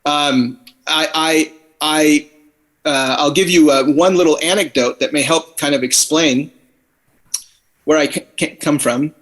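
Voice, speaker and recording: A man's voice: a little high, fairly even intonation, a little slow, long pauses, a bit bright. A man raised in the Philippines, a podcast guest talking thoughtfully and at length over a video call, often restarting his sentences. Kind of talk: casual conversation on a video call. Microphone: computer on a video call.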